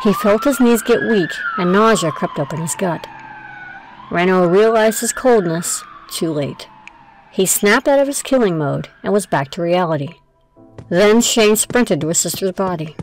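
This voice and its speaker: A woman's voice: mid-range voice, animated, moderate pace, slight background noise, low-quality recording. A woman narrating a scripted audio drama as its storyteller.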